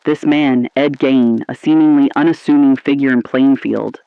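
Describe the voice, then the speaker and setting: A man playing an ominous, appalled 1950s radio anchor, delivering scripted lines in a grave, deliberate, theatrical style. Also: medium pitch, steady tone, gravelly, clear, resonant tone, no room sound, phone-quality sound, natural voice. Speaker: radio host.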